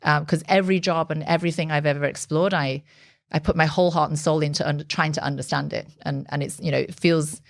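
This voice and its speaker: Woman, 40s, founder guest: low, gravelly voice, candid and reflective, close and dry. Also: steady tone, quick pace, no room sound. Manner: reflective, candid, frequent restarts and fillers. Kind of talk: conversational podcast interview.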